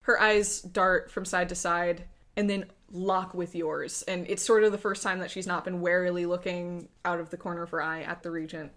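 A woman's voice: fairly low pitch, little pitch movement, normal speed, fairly bright tone. A woman narrating a tabletop game as game master, in a casual, descriptive way.

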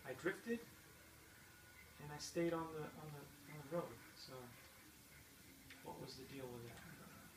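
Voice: medium pitch, unhurried, long pauses, noisy background. Encouraging parent, echoey and far from mic. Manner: encouraging, patient coaching. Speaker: parent coaching a child at a video game. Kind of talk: casual chat while watching gameplay. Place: indoor room at home with a TV. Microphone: distant camera mic.

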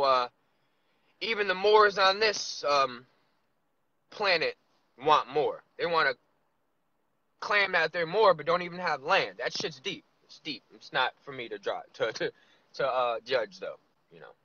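A man in his thirties vlogging from inside a car, musing loosely with drawn-out asides. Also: a little high, lively intonation, bright, forceful tone, dry recording. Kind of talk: casual rambling monologue. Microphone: phone camera on dashboard. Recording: lo-fi phone audio.

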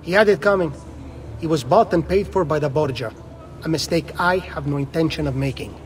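Scornful high-pitched actor as an old statesman. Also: fairly quick, distant mic, a little hiss or hum, close to an everyday voice. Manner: scornful, blunt.

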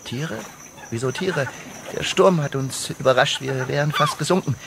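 An actor playing a talkative, rattled shipwrecked husband in naturalistic radio drama dialogue. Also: male voice, fairly high pitch, normal speed, creaky. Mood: relieved, anxious. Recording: noisy outdoor sound scene.

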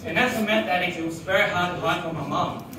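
A young man doing stand-up in a small bar, his voice pitched fairly high and caught from the back of the room. The sound rings around a hard, echoey space, with loud crowd noise and laughter filling the gaps. He sets up jokes deadpan and laughs at his own punchlines. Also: quick pace, distant mic. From Australia. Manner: deadpan one-liners with laughing asides. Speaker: stand-up comedian. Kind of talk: stand-up comedy set. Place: small restaurant bar, live audience. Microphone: handheld mic, filmed from far back.

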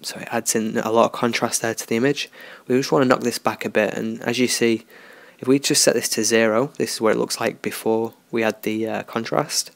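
Gravelly man teaching software. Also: medium pitch, a little fast, few pauses, dry recording. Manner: relaxed, unscripted, thinking aloud with fillers. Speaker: tutorial host. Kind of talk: screen-recorded software tutorial.

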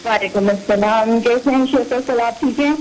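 A woman's voice: fairly high pitch, moderate pace, darkish tone, slight background noise, rough recording. Sindhi-accented woman calling in to a show. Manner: polite and chatty, asks questions. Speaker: caller. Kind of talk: phone-in call.

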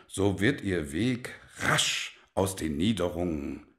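A worldly godfather holds forth in a radio play. He speaks slowly and floridly, with sweeping, animated pitch, like an avuncular man grandly giving advice. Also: exaggerated voice. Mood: appraising, confident. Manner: theatrical, florid, deliberate speech. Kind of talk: German radio play acting.

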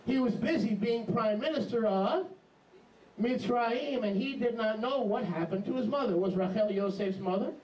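Middle-aged man, preacher, emphatic sermon, higher voice, roomy echo, heard from afar. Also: moderate pace, a little hiss or hum. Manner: animated, emphatic, jumps into mocking voices. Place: small congregation hall with a lectern. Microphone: handheld mic. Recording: echoey hall, camera far off.

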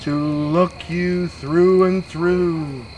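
Man putting on a slow, groaning undead creature. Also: fairly high pitch, fairly even intonation, darkish tone, a little hiss or hum, pitched above the performer's own voice. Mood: menacing. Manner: slow, drawn-out groaning menace. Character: groaning undead creature hunting its missing toe.

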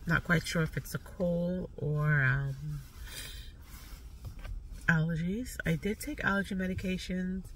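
A woman's voice: fairly low pitch, fairly even intonation, measured pace, long pauses, recorded from a distance, slight background noise. A woman in her thirties with a stuffy nose, chatting casually to the camera from a parked car. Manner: chatty, rambling, soft-spoken with fillers. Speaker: vlogger. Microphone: phone camera on dashboard.

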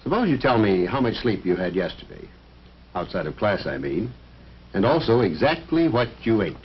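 Fatherly 50s school film coach, explains things earnestly, very animated, noisy muffled old soundtrack. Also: lowish voice, moderate pace. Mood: earnest advising. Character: firm, fatherly male coach and biology teacher, lecturing. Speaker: coach and biology teacher. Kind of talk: scripted 1950s educational film acting.

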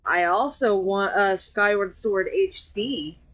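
A woman streamer talks casually while she plays a game with friends listening in. She rambles, giggles often and drifts into filler words between thoughts. Her voice sits at an ordinary middle pitch. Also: slow pace, a bit bright. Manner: chatty, giggly, trails off with fillers. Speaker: VTuber streamer. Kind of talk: casual gameplay commentary.

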